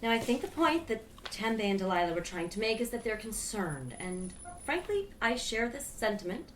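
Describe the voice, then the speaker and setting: Fed-up sweary lady writing teacher an actress doing it, high swingy voice, sarcastic, radio play. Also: big pitch swings, moderate pace, a bit bright. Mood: stern, dismissive. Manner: sarcastic, scolding. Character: exasperated, foul-mouthed female writing teacher and journal editor. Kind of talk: satirical radio play acting. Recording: echoey room with faint noise.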